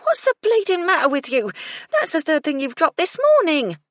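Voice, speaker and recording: A woman's voice: extremely high pitch, wildly swooping pitch, moderate pace, dry recording, studio quality, pitched above the performer's own voice, heavily acted. Woman doing a bawling cook. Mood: angry. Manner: yelling, coarse. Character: stout, hot-tempered woman cook.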